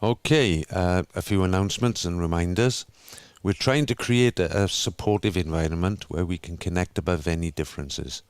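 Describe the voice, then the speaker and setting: A man co-hosting an online group, reading announcements and reminders in a measured, instructive way over a video call. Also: low-pitched, clean tone, no room sound.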